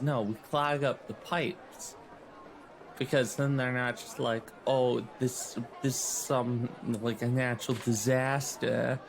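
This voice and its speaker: A man's voice: mid-range voice, gravelly, slight background noise, low-quality recording. A man playing a tabletop role-playing game, improvising in character with casual, slangy, sweary speech.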